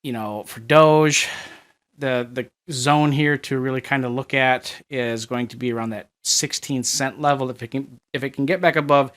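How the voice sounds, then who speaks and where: A man's voice: medium pitch, steady tone, moderate pace, fairly bright tone. A middle-aged man from Minnesota with a Minnesotan accent, talking through price charts with lots of fillers and restarts. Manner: rambling, many fillers and restarts. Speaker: market analyst. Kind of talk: chart analysis commentary.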